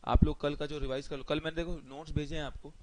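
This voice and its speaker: A man with an Indian accent gives a live online lecture while nursing a sore, hoarse throat. His voice sits a little high, and he talks in a chatty way, mixing English words in and repeating his phrases to drive points home. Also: flat delivery, quick pace, a little mellow, slight background noise. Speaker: teacher.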